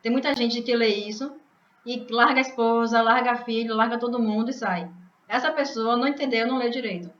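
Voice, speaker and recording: A woman's voice: fairly high pitch, fairly quick, a bit bright. A woman from Bahia, explaining scripture to a study group over a video call, hesitant and restarting words. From Bahia, Brazil. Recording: echoey muffled call audio.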